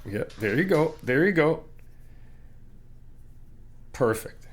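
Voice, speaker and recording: A man's voice: on the high side, big pitch swings, takes it slowly. A young man in an ASMR roleplay, talking as a friend helping paint a new apartment, easygoing and reassuring, teasing and laughing often. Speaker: ASMR roleplay creator playing a supportive friend.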